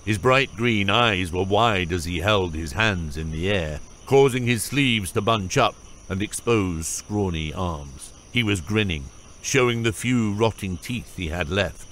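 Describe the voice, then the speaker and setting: Low-voiced male audiobook narrator. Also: animated, unhurried, fairly bright tone, a little hiss or hum.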